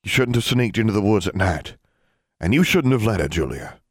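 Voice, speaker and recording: A man voicing a stern, authoritative mother who scolds in a firm, formal, measured way. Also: on the low side, sing-song, slightly rough, no echo, studio quality, voice pushed up.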